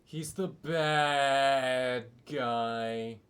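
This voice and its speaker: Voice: mid-range voice, very slow, a bit bright, clear, resonant tone. A game streamer giving casual, offhand, sweary commentary on a playthrough, muttering reactions along the way. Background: video game music.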